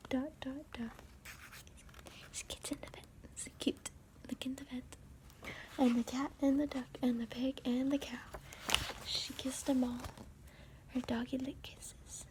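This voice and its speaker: A woman reading a children's storybook aloud in a soft ASMR whisper, with playful asides. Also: high-pitched, little pitch movement, slow pace, long pauses. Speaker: storybook reader.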